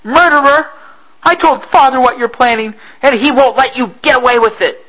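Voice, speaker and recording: A man voicing a fierce, defiant young girl who cries out in a shrill, pleading voice in a storybook reading. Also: normal speed, pushed and bright, full character voice, low-quality recording.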